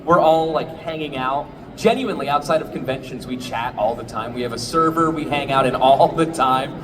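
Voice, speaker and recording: A man's voice: medium pitch, a little fast, a crowd in the background. A young man, a voice actor on a convention panel, talking casually with lots of fillers. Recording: noisy convention hall.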